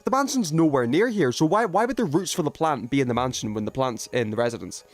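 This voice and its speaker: Male game streamer, very lively intonation, loose slangy chat, thinks out loud, music behind. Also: medium pitch, quick pace. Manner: thinking aloud, self-questioning, trailing off; informal slangy speech. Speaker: game livestreamer. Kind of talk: casual livestream gameplay chat.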